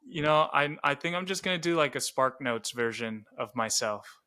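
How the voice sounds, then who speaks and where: A young man from the Chicago suburbs talks through his life story in a loose, easygoing way, full of fillers. His voice is bright and ringing, and it comes through smooth and clean. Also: medium pitch, normal speed. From Chicago suburbs, Illinois. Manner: relaxed, rambling, many "like" fillers. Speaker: podcast co-host. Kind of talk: casual chat.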